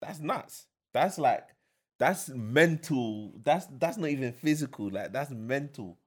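A man's voice: medium pitch, lively intonation, slow pace. A young father, a guest in a casual chat, speaking reflectively with many fillers.

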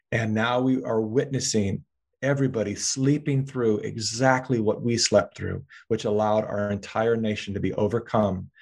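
A man with a fairly low voice talks at length in an interview, earnest and rambling. He often restarts words mid-sentence as he builds his point. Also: a little mellow. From California. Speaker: filmmaker guest. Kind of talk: political interview talk.

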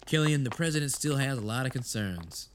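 An inquisitive senator played by a man in an audio drama. His mid-range voice is very mobile in pitch. He is skeptical and pointed, always probing. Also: animated, brisk, background noise, lightly acted. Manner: questioning, pointed.

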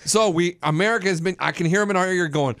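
A young man co-hosting a sports radio show in casual banter, emphatic and loud, acting out little quoted exchanges. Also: high-pitched, quick pace.

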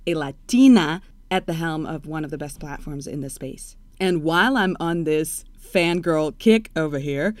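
A woman's voice: sits low, normal speed, hardly any pauses, dry recording. A woman who grew up in Russia, hosting an interview in a candid, playful way. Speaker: podcast host.

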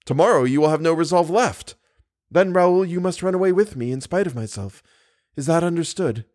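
An ardent young gentleman of a gothic storybook, acted by a male narrator, with raised pitch. He is protective and jealous, pressing his questions with urgent emphasis. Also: a little fast, natural voice.

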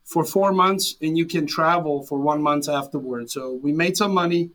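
A man in his forties with a Serbian accent, his voice sitting a little higher than most men's. He answers interview questions earnestly, often restarting his sentences and padding them with fillers. English is his second language. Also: normal speed, fairly bright tone. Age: 40s. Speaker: guest. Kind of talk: interview conversation.

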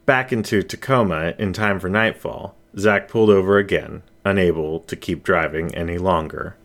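Casting: male audiobook narrator, deep pitch, expressive suspense delivery for dramatic fiction. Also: moderate pace. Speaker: story reader. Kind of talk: dramatic scripted story narration.

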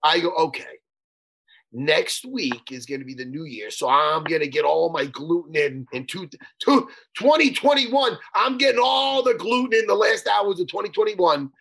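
Podcast co-host, male: high, animated, husky, always joking. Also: normal speed. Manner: joking, teasing banter. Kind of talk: casual chat.

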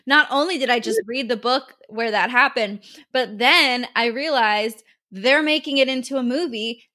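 A woman's voice: on the high side, normal speed, sharp tone. A woman co-hosting a podcast, chatting casually and enthusiastically, swearing freely and laughing a lot. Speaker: podcast co-host. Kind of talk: casual chat.

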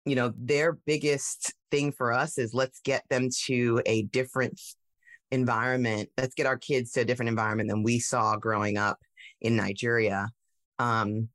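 A woman tech founder telling her story in a casual interview, animated, with many fillers and restarts. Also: a bass voice, unhurried, no echo. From United States.

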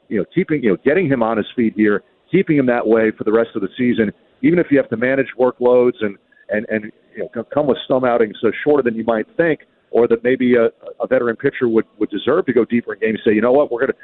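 A man giving sports analysis as a guest on a radio interview, speaking haltingly and repeating words as he thinks. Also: medium pitch. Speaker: baseball radio play-by-play announcer, guest. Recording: muffled narrow-band radio call-in line.

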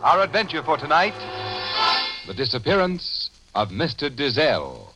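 A man narrating a 1950 radio drama as announcer, with theatrical, deliberate phrasing. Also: on the high side, wildly swooping pitch, rough recording. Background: music.

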